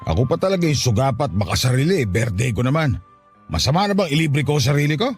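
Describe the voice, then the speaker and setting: A man acting a tipsy, self-pitying father in a radio drama, sulky and quick to argue. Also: mid-range voice, sing-song, breathy edge. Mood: sulky, defensive. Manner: sulky, argumentative. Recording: music behind the voice. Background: music.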